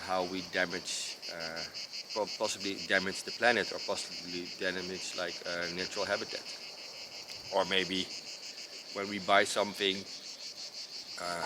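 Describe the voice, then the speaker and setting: A man with a Dutch accent, speaking slowly and unevenly in a deep, low voice. He explains his ideas casually, pausing often with fillers and starting sentences over. Crickets and insects chirp clearly behind him outdoors. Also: level tone, noisy background. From Netherlands. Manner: hesitant, with many fillers and restarts. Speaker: designer explaining his approach. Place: outdoors in rural mountains.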